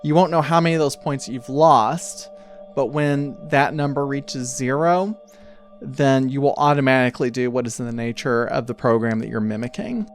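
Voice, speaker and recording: A man runs a tabletop role-playing game as game master, with music playing under the voice. The pitch sits in an ordinary middle range. The narration is improvised and comes out in halting phrases, worked out while speaking. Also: fairly even intonation. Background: background music.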